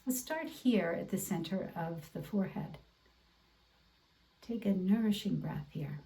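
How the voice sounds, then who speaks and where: A woman instructor leading a guided meditation in a gentle, deliberate, encouraging way over an online video class. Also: lowish voice, animated, vocal fry, poor audio. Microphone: away from the mouth, video call.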